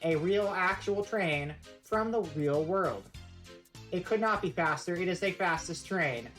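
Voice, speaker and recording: Low-voiced joking woman streamer. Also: animated, measured pace, faint music behind. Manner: rambling, joking, playfully mock-serious. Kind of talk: casual live-stream presentation.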